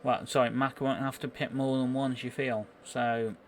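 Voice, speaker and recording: A man giving live play-by-play commentary on an online racing game, a little halting as he thinks through the action. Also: mid-range voice, level tone, a little hiss or hum.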